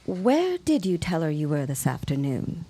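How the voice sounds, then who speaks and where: A woman's voice: low-pitched, very animated, moderate pace, warm tone, breathy edge, full character voice. A woman acting a sardonic, jealous secretary and mistress of the 1910s in an audio drama, sarcastic and drawling. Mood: needling, weary.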